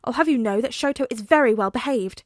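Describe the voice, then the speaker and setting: A woman's voice: fairly high pitch, fast talker, no echo, clean studio sound, natural voice. A woman voicing a proper, protective mother with a clipped, frosty manner.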